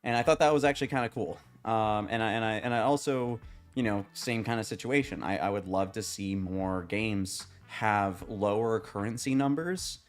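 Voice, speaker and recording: Young male game streamer, low and a bit raspy, rambling off-the-cuff chat, with faint game music underneath. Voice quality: slightly raspy voice. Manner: rambling, halting, many restarts and fillers, swears casually. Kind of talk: casual live-stream chat with viewers.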